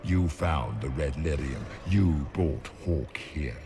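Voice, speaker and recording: A deep, cruel taunting demon. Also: male voice, expressive, over music, full character voice. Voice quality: deep, slow and menacing. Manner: mocking, cruel, deliberate. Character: menacing demon taunting with fears. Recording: game audio with ambient noise.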